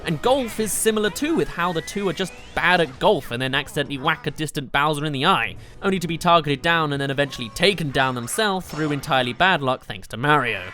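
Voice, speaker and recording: A man's voice: on the high side, lively intonation, fast talker, few pauses. A man narrating an enthusiastic scripted video game countdown in a gushing, informal way, as a reviewer. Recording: loud music behind the voice. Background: game soundtrack music.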